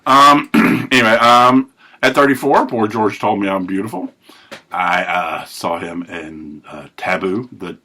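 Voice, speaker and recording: A man hosting a recovery podcast, telling his life story in a rambling, self-correcting way with a big, loud voice. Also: lowish voice. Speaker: podcast host. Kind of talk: personal recovery monologue.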